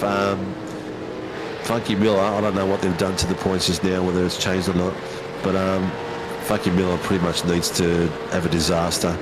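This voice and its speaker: A man's voice: low-pitched, heavy background noise. An Australian man commentating a sim race in a casual, matey way, with plenty of ums and uhs.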